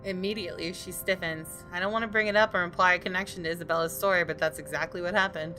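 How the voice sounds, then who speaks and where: Female game narrator: lowish register, expressive storytelling read, music bed underneath. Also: quick pace. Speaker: gaming video host reading the story. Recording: music playing behind.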